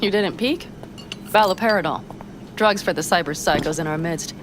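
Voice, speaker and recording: An actress playing a tough, hot-headed young nomad smuggler in a video game, with blunt, colloquial, sweary delivery. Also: female voice, lowish voice, normal speed, natural voice. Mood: relaxed. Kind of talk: video game cutscene acting. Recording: clear ambient vehicle noise behind.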